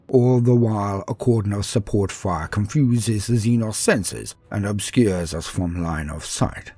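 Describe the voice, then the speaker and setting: A man voicing a proud, battle-hungry space marine in a sci-fi war story, with a husky voice and a haughty, grandiose manner. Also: fairly low pitch, a little mellow, no echo, full character voice. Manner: haughty, scornful, grandiose, dramatic. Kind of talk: first-person dramatic sci-fi war storytelling.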